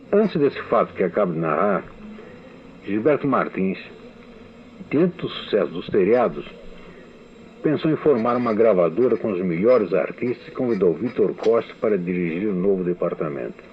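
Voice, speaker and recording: A Brazilian-born man, a radio novelist, telling his own history in a measured storytelling manner with dry asides, as an archival spoken memoir. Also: sits low, lively intonation. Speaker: radio novelist giving a testimony. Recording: tinny lo-fi archival radio recording.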